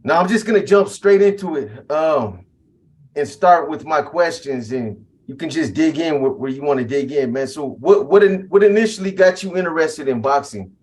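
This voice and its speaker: A man's voice: on the high side, lively intonation, normal speed, darkish tone. A man hosting an interview, asking questions in a casual, friendly street-style way. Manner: casual, friendly, calls the guest "bro". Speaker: interviewer, host. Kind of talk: podcast interview.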